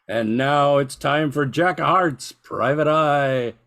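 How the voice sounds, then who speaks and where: A man's voice: fairly high pitch, slow pace. An older man playing an intense, straightforward announcer in an old-time radio play, weighing every word. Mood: emphatic. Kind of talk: 1940s radio acting.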